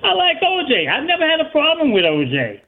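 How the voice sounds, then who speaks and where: Insistent high-voiced man on the phone. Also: huge pitch swings, brisk. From Arizona. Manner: defiant, insistent, repeats his points. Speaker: caller. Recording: tinny phone line.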